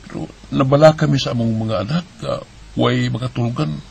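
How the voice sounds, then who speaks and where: Gravelly, humble Visayan father. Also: medium pitch, fairly quick, a little mellow. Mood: humbly grateful. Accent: Visayan Filipino. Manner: subdued, pleading. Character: humble, pleading father of a homeless family. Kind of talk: radio drama acting.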